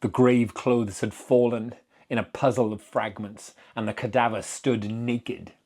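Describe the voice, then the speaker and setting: A man giving a dramatic, deliberate reading of literary horror fiction as narrator. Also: mid-range voice, fairly even intonation, a little mellow.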